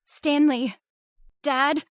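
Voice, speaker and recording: An actress playing a terrified young daughter in a radio horror drama, overwrought and fearful. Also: female voice, sits high, steady tone, drawn-out words, dry recording, studio quality. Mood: pleading, alarmed. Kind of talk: old-time radio drama acting.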